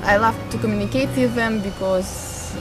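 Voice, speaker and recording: Young Latvian student, loud music behind. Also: female voice, medium pitch, a little slow. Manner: hesitant, searching for words. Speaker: pedagogy student and youth centre helper. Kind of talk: documentary interview. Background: background music.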